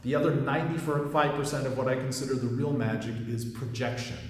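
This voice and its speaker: A man's voice: mid-range voice, steady tone, a little fast. Man talking in a very echoey room. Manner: rambling, with many fillers and restarts. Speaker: magic coach and competitor. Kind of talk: casual commentary. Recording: very echoey room with long reverb.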